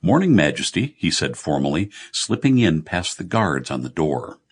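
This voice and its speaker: A man narrating comic fantasy fiction as an audiobook, telling the story in a measured way. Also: very deep voice, sing-song.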